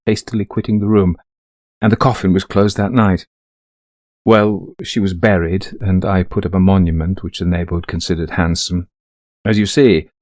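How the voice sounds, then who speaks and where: An actor playing a middle-aged, greying widower in a ghost story, confiding an eerie haunting to a friend in genteel, old-fashioned phrasing. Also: male voice, fairly low pitch, darkish tone, no room sound, clean studio sound. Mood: haunted, grave. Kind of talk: dramatised first-person ghost story narration.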